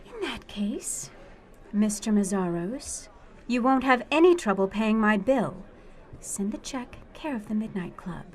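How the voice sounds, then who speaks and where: An actress playing a poised, sly burlesque star and cat burglar in a noir radio drama, speaking in an arch, coolly wry way. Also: female voice, medium pitch, animated, a little slow, natural voice. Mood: cool, firm. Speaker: lead actress and narrator.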